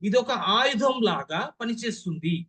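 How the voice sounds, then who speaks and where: Young man, Telugu accent, high voice, public speaking trainer, emphatic lecture style, rhetorical questions. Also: expressive, normal speed, bright, forceful tone, husky. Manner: emphatic, stressing key words, checking understanding.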